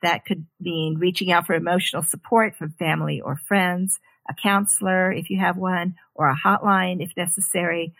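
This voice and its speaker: A woman co-hosting a podcast, reading a content warning in a measured, careful way. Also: fairly low pitch, fairly even intonation, vocal fry, fairly bright tone, poor audio. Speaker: podcast co-host.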